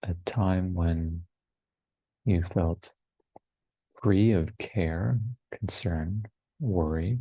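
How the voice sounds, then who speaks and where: Slow, low-voiced man guiding meditation. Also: no room sound, rough recording. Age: 40s. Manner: hushed, unhurried, long pauses between short phrases. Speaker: meditation teacher. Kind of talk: guided meditation. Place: online retreat over video call, dry close sound.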